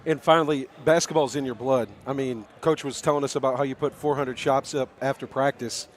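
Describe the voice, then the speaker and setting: A man's voice: medium pitch, steady tone, a little fast. An American man working as a radio sports announcer, hosting a live post-game interview and recap. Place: high school basketball gym. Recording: live broadcast with clear background noise.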